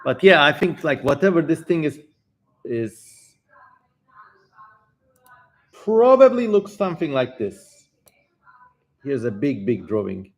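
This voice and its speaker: A man's voice: fairly high pitch, big pitch swings, takes it slowly, long pauses, rough recording. A man hosting a livestream, chatting casually and informally with some swearing as he comments. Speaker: livestream host.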